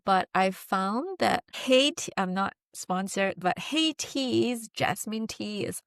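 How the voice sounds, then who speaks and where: A woman's voice: medium pitch, animated, slow, smooth, no room sound, clean studio sound. A woman from Singapore chatting casually as an interview guest, talking in an informal, chatty way. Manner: chatty, informal, frequent "like" and "you know". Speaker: interview guest, fantasy novelist. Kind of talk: casual chat.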